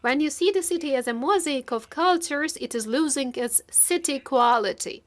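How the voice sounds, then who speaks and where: Woman, high-pitched, live interpreter, formal keynote register, halting piecemeal phrasing, stretched words. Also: expressive. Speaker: simultaneous interpreter.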